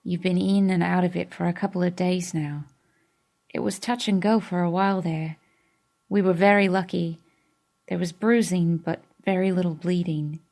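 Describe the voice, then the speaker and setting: A woman voicing a worried young man who murmurs softly, his voice strained with emotion. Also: fairly low pitch, fairly even intonation, moderate pace, vocal fry. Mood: tender.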